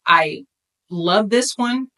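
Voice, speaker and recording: A woman's voice: medium pitch, animated, extremely slow, pushed and bright. A woman vlogger chatting casually to her viewers, sassy and playful, calling them "baby" as she walks them through her hair routine. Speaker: beauty vlogger. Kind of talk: casual chat to camera.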